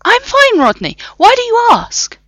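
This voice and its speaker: A woman voicing an alien impostor posing as a man, speaking with eerie, overly polite calm in a very high voice. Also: unhurried, dry recording, clean studio sound, full character voice. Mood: placid.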